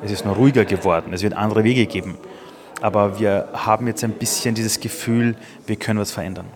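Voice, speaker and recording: A man in his forties, from Iran, speaks in an interview with a rapid, tumbling delivery. His voice sits fairly low, and he answers earnestly and with real feeling. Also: fairly even intonation, a crowd in the background. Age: 40s. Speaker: entrepreneur, interview guest.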